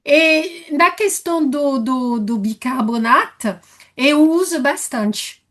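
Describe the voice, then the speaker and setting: A woman's voice: high voice, animated, takes it slowly, fairly bright tone. A French woman speaking with a French accent, a nutritionist asking a question in a live Q&A, searching for words as she goes. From France. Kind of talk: live online Q&A.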